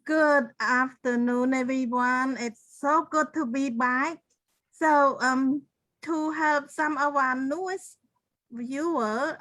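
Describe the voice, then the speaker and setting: A woman's voice: high-pitched, a bit bright, no echo, rough recording. A middle-aged woman from Cambodia, telling her personal story on a video call in an earnest, simple way. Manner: slow, earnest, simple phrasing. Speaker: co-host and speaker.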